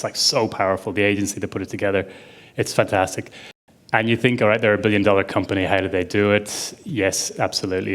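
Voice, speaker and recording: A man giving a casual conference talk on stage, chatty and enthusiastic, with lots of fillers. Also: on the low side. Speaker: conference speaker. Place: conference stage before an audience.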